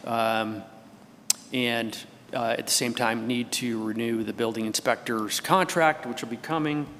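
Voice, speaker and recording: A man's voice: mid-range voice, fairly even intonation, unhurried, a bit bright. A middle-aged man with an American accent giving an informal staff update at a public meeting. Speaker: city staff official giving a report. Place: wood-panelled meeting hall. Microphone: gooseneck table microphone. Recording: echoey hall with background noise.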